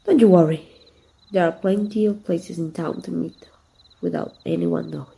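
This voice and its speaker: Mellow, teasing villainess played by a woman. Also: on the low side, steady tone, moderate pace, creaky. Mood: flirtatious, intimate. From Mexico. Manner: teasing, intimate, assured. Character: flirtatious, confident female villain secretly fond of her rival. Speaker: amateur voice actor. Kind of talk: ASMR roleplay, soft intimate acting.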